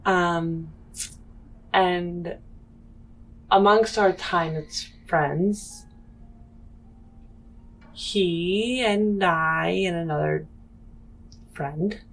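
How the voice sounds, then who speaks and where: Slow-paced low-voiced woman role-playing. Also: low-quality recording. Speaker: tabletop game player. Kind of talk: in-character improvised tabletop role-play. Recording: music behind the voice. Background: music.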